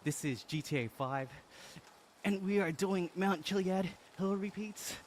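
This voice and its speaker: An Australian man on a casual live stream, reading viewer comments aloud while riding an indoor bike, upbeat and quick to laugh. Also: high voice, slight background noise. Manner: upbeat, laughs often, friendly and chatty.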